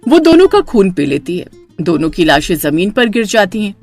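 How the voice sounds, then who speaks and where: A woman's voice: mid-range voice, sing-song, normal speed, darkish tone, faint music behind. A woman narrator reading a scripted horror story.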